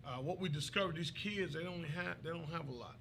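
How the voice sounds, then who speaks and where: A pastor from Trinidad and Tobago answers questions politely and hesitantly, with plenty of pauses and fillers. His voice is gravelly and a bit higher than typical. A clear hiss of noise runs behind him. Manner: polite, keeps saying yes sir, hesitant with many uhs. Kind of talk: interview guest.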